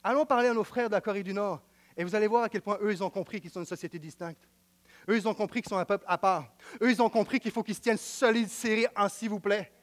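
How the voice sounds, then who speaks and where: A man preaching with a Quebec French accent, his voice sitting high. He hammers home repeated phrases. He swings from loud proclamation to hushed, intimate asides. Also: fast. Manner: emphatic, repetitive, swings between loud declaiming and quiet intimacy. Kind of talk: sermon.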